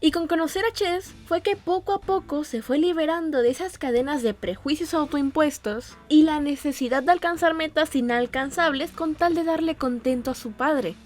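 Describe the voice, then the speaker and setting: A woman narrating a story in a video essay, telling it in an expressive, earnest and dramatic way. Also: high voice, moderate pace, hardly any pauses. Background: background music.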